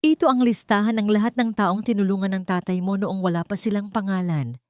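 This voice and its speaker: A woman's voice: medium pitch, a little fast, a little mellow, no room sound, close to an everyday voice. A woman voicing a steely, loyal female companion in an audio story, intent and cool-headed. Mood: urgent, excited.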